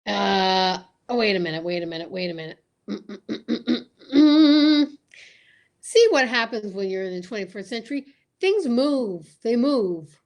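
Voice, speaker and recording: A woman's voice: medium pitch, unhurried, darkish tone, voice pushed down, lightly acted. A woman playing a cowardly male comic sidekick who blusters, stammers and stalls in an old-time radio comedy sketch. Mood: flustered stalling.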